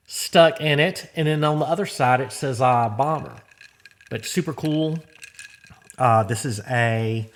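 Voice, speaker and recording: A man's voice: on the high side, pushed and bright. A middle-aged American man hosting a vlog, talking in a casual, rambling, folksy way with plenty of informal slang. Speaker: host of a garage vlog.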